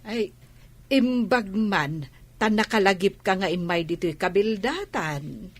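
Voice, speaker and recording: A woman's voice: mid-range voice, expressive, slow, dry recording. A woman with a Filipino accent playing an affectionate old village grandmother in a comedy radio drama. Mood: affectionate, amused.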